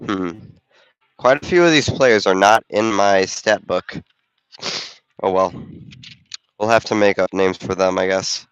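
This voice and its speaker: Man, congested, deep voice, dry close sound, casual game commentator. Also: unhurried, pauses often, smooth, no echo, lo-fi sound. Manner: relaxed, chatty, thinking aloud. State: congested from a cold. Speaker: volunteer game commentator and camera operator. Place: school gym during a basketball game.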